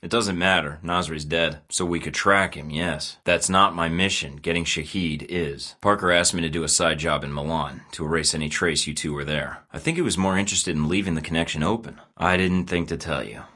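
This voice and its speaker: A man's voice: deep voice, steady tone, brisk, hardly any pauses, bright, forceful tone. An actor playing a dry, sarcastic male secret agent in a video game, delivering wry, understated lines in a studio.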